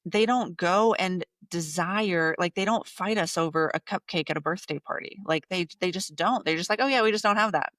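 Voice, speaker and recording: A woman's voice: lowish voice, moderate pace, hardly any pauses, fairly bright tone, no room sound. Thirties woman guest, blunt and punchy, hammers her points, close dry sound. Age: 30s. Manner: emphatic, blunt, repeats points for effect. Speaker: podcast guest, business coach. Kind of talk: casual interview talk.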